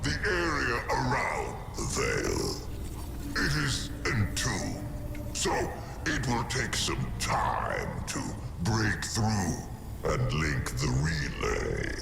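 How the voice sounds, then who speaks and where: An actor playing a grandiose, theatrical alien tyrant, speaking with pompous deference to a dark master in a gravelly, drawn-out voice. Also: male voice, deep voice, huge pitch swings, noisy background, exaggerated voice. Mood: pompous reporting. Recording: echoing, music behind.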